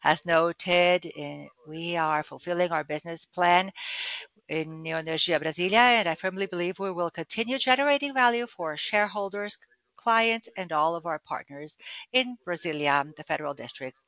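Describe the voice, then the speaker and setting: Man, executive, earnings call, bright voice, halting with fillers, dry close sound. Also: fairly high pitch, dry recording. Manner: halting, many fillers and restarts. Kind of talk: formal earnings presentation.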